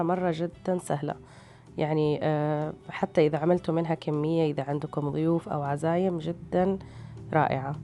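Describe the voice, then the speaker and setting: Woman, tired mellow voice, flat pitch, hesitant cooking narration. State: tired, strained voice. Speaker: cooking channel host. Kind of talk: casual recipe voice-over narration. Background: background music.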